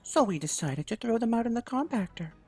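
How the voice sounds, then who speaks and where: A man's voice: very high voice, animated, fairly quick, darkish tone, faint music behind, pitched above the performer's own voice. A man voicing a nervous, timid schoolgirl who hesitates as she tattles.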